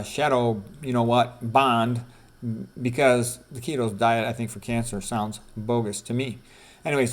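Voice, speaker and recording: A man presenting an informal lecture, reading out quotes and adding his own asides, emphatically repeating key points and putting questions to the listener. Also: mid-range voice. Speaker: presenter, medical doctor.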